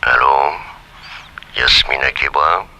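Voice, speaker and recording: Sinister phone caller in old radio serial, super deep n gravelly, curt, evasive. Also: male voice, steady tone, takes it slowly, sharp tone, heavily acted. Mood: menacing, insistent. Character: shadowy, evasive gangster caller. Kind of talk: 1960s French radio serial acting. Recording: noisy old radio recording.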